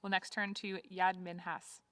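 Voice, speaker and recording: A woman, moderating a public candidate forum and briskly introducing each speaker. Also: fairly low pitch, flat delivery, fairly bright tone, no echo.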